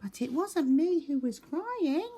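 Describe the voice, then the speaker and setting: A woman voicing a lonely young turtle in a children's storybook, squeaky and earnest, friendly and eager for company. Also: very animated, takes it slowly, mellow, full character voice. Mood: earnest, wistful.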